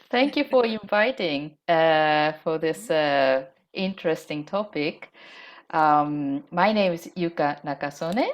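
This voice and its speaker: A Japanese woman whose first language is Japanese, introducing herself on an online panel in careful, halting English with a Japanese accent. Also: sits low, expressive. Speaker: panelist, business strategist.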